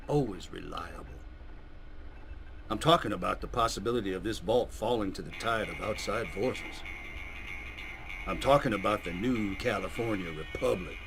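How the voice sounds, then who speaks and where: A man playing a gruff, patriotic, hot-tempered coach who speaks like a recruiter in a video game. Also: on the low side. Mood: earnest, rousing. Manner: rousing, commanding, profane.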